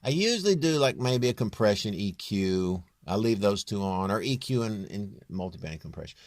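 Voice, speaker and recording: A man with a low, near-bass voice talking through a home music production tutorial in a halting way, thinking aloud as he works. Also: lively intonation, a little hiss or hum. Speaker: home musician demonstrating his vocal production. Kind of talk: informal screen tutorial.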